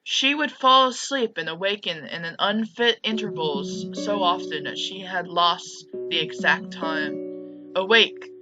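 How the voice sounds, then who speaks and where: A woman's voice: lowish voice, unhurried. A woman narrating a visual novel aloud, reading dramatically and breaking off into casual side comments. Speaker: video game streamer and narrator. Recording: dull lo-fi mic with music behind.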